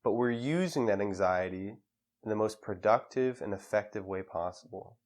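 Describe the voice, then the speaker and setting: A man leading a guided meditation in a calm, measured way with pauses between phrases. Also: on the low side.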